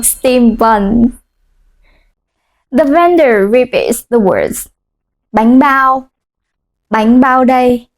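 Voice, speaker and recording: Vietnamese-accented woman teacher, high voice, slow and clear, leads repeat-after-me drills. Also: a little mellow. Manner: slow and clear, modelling phrases for repetition. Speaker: language teacher. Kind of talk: language lesson.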